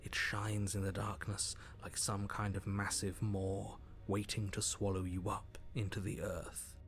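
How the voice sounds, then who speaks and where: An actor voicing the calm, wry narrator of a dark video game, speaking in a measured, faintly sardonic way. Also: male voice, lowish voice, faint music behind.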